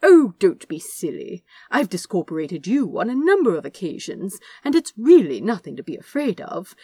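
A woman puts on a fussy, genteel British angel who coaxes and frets in lively, swooping tones. The voice is mellow and slightly husky, dry and close with no room sound. He sounds mannered and old-fashioned. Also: mid-range voice, moderate pace, no room sound. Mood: coaxing. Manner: prim, polite, old-fashioned phrasing. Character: prim fussy male angel, genteel and polite.

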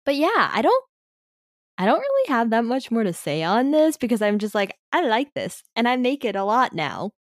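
A woman's voice: on the high side, very animated, moderate pace, no room sound, studio quality. A young woman co-hosting a casual podcast chat, thinking aloud in loose, rambling sentences full of hedges. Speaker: podcast co-host.

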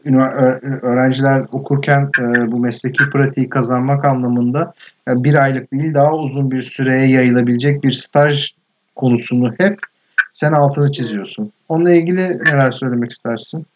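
Casting: male podcast interviewer, mid-pitched, conversational, on a slightly noisy recording. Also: steady tone, a little fast. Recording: dull lo-fi sound with noise.

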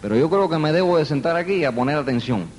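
An older man from New York tells his story to a live audience, with earnest, emphatic repetition. His voice sits a bit high for a man and carries a long reverb from a large hall. A faint ambient hum runs underneath. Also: lively intonation, fast, a little mellow. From New York, United States. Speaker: motivational speaker. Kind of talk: motivational speech to a live audience.

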